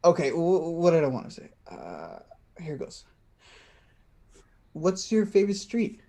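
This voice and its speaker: A man's voice: fairly high pitch, sing-song, gravelly, warm tone, exaggerated voice. A man acting in a play reading as an anxious, tender, slightly tipsy man who stammers and hesitates. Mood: nervous. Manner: halting, stammering.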